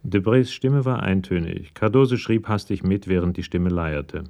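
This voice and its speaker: A man's voice: low-pitched, normal speed, vocal fry, noisy background. A man giving scripted narration for a German radio crime drama.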